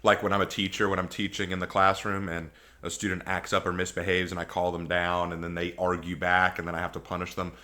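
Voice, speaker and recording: Male reaction host, slightly low voice, opinionated, loose and rambling casual commentary. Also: completely flat delivery, fast, hardly any pauses, pushed and bright.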